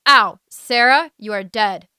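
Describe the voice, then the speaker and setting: A woman's voice: medium pitch, slow pace, a bit bright, smooth, no room sound, clean studio sound. A woman voicing a seventeen-year-old boy who jeers to hide his feelings. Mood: angry. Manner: jeering, hides feelings. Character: teenage boy of seventeen, gruff teaser.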